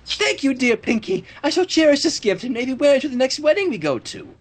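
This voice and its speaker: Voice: high voice, very animated, brisk, pitched above the performer's own voice. A reader voicing a refined, dramatic female cartoon unicorn with a theatrical, affected manner. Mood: astonished, grateful. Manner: theatrical, affected, says darling.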